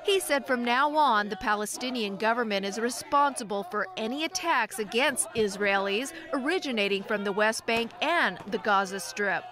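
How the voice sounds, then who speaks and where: A woman's voice: on the high side, normal speed, hardly any pauses. A woman narrating a scripted news report as a television reporter.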